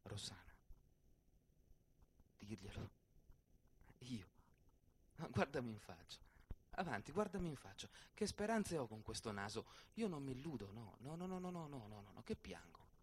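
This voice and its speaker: A man's voice: medium pitch, big pitch swings, moderate pace, long pauses, slight background noise, close to an everyday voice. An actor playing a proud, self-mocking, lovelorn poet swordsman in a theatrical radio play, with a dramatic delivery. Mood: yearning, hushed. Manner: dramatic, swinging between muttered asides and loud declamation. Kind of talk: theatrical radio play monologue with guitar accompaniment. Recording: guitar music behind the voice. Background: plucked guitar music.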